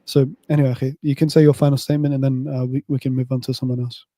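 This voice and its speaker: A man's voice: mid-range voice, flat delivery, racing, dark tone, dry recording. A man hosting an informal religious debate, assertive and casual in how he addresses his guest. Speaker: panel host.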